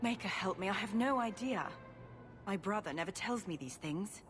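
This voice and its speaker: A woman's voice: mid-range voice, expressive, moderate pace, fairly bright tone, soft background music, close to an everyday voice. A woman playing an earnest, distraught noblewoman in a dramatic video game scene, pleading for help. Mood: anxious, pleading. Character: distraught noblewoman pleading for her missing brother.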